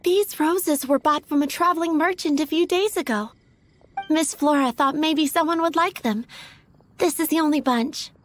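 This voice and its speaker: A woman's voice: sits high, moderate pace, lightly acted. A woman playing a sweet, timid young flower shop assistant in a video game, polite and a little hesitant. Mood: helpful, polite.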